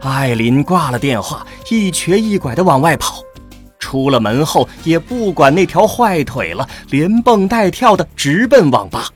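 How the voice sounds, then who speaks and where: A man's voice: a little high, sing-song, measured pace, faint music behind. A man narrating a scripted science-fiction radio drama.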